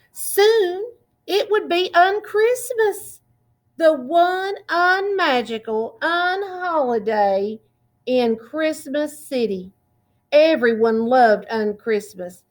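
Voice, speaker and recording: A woman reading a children's picture book aloud in a deliberate, expressive way, drawing out words. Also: sits high. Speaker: children's storytime reader.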